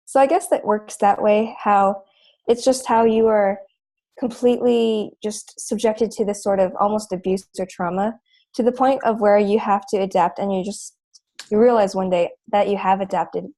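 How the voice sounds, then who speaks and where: A woman's voice: mid-range voice, fairly even intonation, normal speed, warm tone, poor audio. A teenage girl, a native Chinese speaker, reflectively discussing her own essay with a tutor over a video call. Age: teens. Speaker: student.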